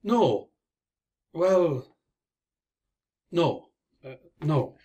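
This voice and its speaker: A man's voice: fairly high pitch, lively intonation, extremely slow, dark tone. An actor playing an anxious, earnest working man in a radio play, stammering and agitated. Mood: pleading. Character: anxious, wrongly accused working man pleading innocence.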